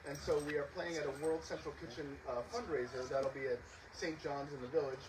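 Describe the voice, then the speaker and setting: Brisk man announcing upcoming concerts. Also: fairly high pitch, steady tone. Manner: smooth, promotional, speaks in full sentences. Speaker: concert co-director. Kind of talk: announcement-style concert talk. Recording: distant outdoor recording with loud noise.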